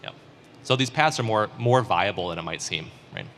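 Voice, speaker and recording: A man in his forties on a startup panel, speaking in an articulate, measured way. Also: fairly low pitch, expressive, a bit bright, slight background noise. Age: 40s. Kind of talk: conference panel discussion.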